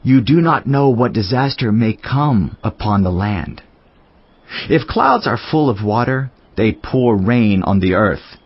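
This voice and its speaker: An actor voicing a solemn, wise teacher in a dramatized scripture reading, speaking in a measured, declamatory way. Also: male voice, on the low side, expressive, breathy edge, heavily acted. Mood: solemn, reflective. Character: solemn male Teacher, wise biblical sage reflecting on life. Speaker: scripture reader. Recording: dull lo-fi audio over music.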